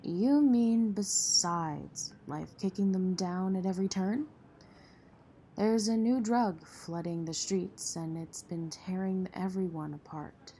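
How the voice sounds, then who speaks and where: A woman's voice: fairly low pitch, takes it slowly, dark tone, a little hiss or hum. A woman playing a tough, jaded relief center volunteer in an audio drama, blunt and world-weary. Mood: grim, explaining.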